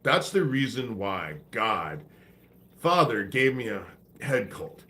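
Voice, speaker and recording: A man's voice: medium pitch, very animated, slow pace, sharp tone. A man hosting a live stream while sick with a congested head cold, talking in a loose, rambling, profane way. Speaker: livestream host. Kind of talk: rambling casual livestream talk.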